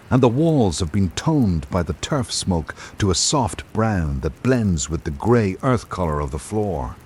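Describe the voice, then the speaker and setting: An actor playing a reflective literary traveller, narrating a radio drama in a measured, formal way. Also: male voice, lowish voice, darkish tone, a little hiss or hum. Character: reflective literary traveller recalling island life. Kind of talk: scripted first-person narration.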